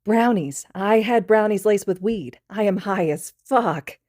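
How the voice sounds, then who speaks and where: A woman's voice: mid-range voice, expressive, normal speed, warm tone, no room sound, studio quality, voice pushed up, lightly acted. A woman voicing a giddy, intoxicated teenage boy student who giggles and rambles in a storybook reading. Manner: giggly, rambling, sing-song. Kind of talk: fan fiction read aloud.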